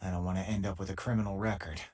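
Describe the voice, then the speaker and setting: An actor playing an easygoing, wisecracking spacefaring companion in a video game, talking in a casual, wry, conversational way. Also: male voice, low voice, fairly even intonation, rapid-fire, darkish tone. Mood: amused, casual. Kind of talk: video game voice acting, conversational companion lines and combat callouts.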